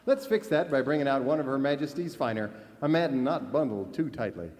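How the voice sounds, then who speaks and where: A man's voice: medium pitch, animated, a little fast, darkish tone. A man hosting a scripted live radio comedy show. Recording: live stage hall reverb, faint noise.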